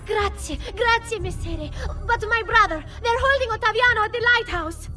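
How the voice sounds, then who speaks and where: A woman's voice: very high voice, moderate pace, bright, forceful tone, recorded from a distance, soft background music. An actress playing a frightened, grateful young woman in a video game, speaking in an urgent, breathless way.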